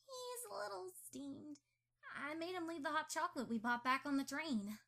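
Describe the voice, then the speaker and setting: A woman's voice: high voice, lively intonation, moderate pace, vocal fry, a bit bright, exaggerated voice. A woman playing an earnest, affectionate young mare daughter in an amateur audio drama. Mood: soft, hopeful.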